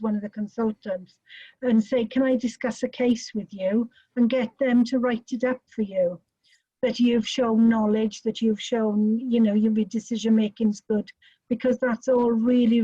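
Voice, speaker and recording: Welsh woman, webinar presenter, higher mellow voice, dry dull call audio, practical chat. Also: slightly rough, no room sound. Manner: chatty, practical, gives tips. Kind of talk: online teaching chat. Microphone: video call. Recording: muffled video call audio.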